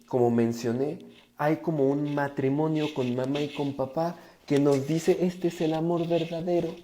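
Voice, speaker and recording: A man with a Mexican accent speaks in a soft-edged, mellow timbre, pitched a little high for a male voice. He talks informally to a live audience online, explaining ideas about relationships with emphasis and slipping into imagined inner dialogue. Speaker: livestream speaker on relationships and psychology. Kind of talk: informal live self-help talk to viewers.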